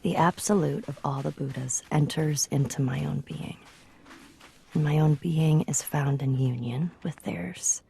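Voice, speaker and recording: A woman reading literary quotations from a script in a measured, contemplative manner. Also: sits low, creaky, dark tone, a little hiss or hum, rough recording.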